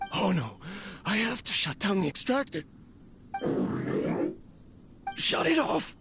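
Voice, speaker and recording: An actor playing a weary, lonely, ailing inventor, speaking earnestly and solemnly in a dramatic game monologue. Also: male voice, very high-pitched, background noise, heavily acted. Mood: weak, panicked. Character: weary, lonely, ailing male inventor-scholar, wistful and obsessed.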